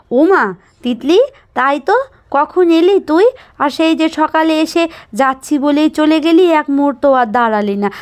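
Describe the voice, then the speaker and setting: A woman's voice: sits high, pitched above the performer's own voice. A woman voicing a fussing, affectionate village mother in a doll story, chatty, coaxing and scolding.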